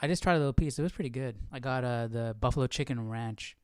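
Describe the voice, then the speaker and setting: Casual man rambling, clear dry voice. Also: mid-range voice, quick pace, darkish tone, no echo. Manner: rambling, thinking aloud. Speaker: livestream host of a Bible study series. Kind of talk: casual livestream chat.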